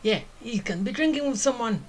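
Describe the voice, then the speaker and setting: A woman's voice: on the high side, animated, fast talker, a little hiss or hum. A woman streamer giving casual, off-the-cuff commentary while playing a video game. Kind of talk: casual live commentary over gameplay. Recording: game music behind.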